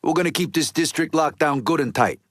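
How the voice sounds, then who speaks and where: A man's voice: fairly high pitch, brisk, fairly bright tone, no echo, clean studio sound, lightly acted. A man playing a tough, resentful middle-aged Italian-American mobster in a video game, with an East Coast city American accent and a blunt, streetwise way of talking. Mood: businesslike. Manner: blunt, profane, streetwise wiseguy talk. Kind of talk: video game character dialogue.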